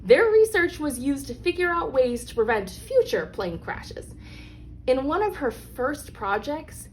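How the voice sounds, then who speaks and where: A woman reads a children's picture book aloud as a storyteller, with deliberate, emphatic phrasing for young listeners. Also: on the high side. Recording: clearly noisy background.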